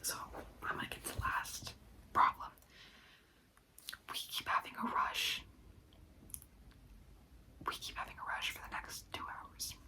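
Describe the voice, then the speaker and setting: A woman's voice: measured pace, pauses often, low-quality recording. A young woman who works a part-time food service job, whispering a story and venting with lots of "like" fillers. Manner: whispering throughout, frequent "like" fillers; exasperated venting.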